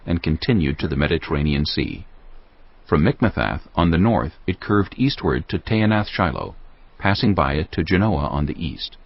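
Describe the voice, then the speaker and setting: A man narrating scripture from a script as a measured, solemn reading. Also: low voice, husky, dry recording. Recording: muffled audio with music behind.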